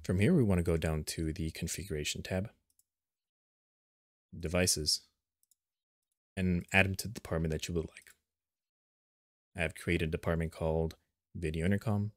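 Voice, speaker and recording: A man narrating an unscripted step-by-step software tutorial in a plain, instructional manner, recorded as a screen voice-over. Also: low-pitched, no room sound, clean studio sound.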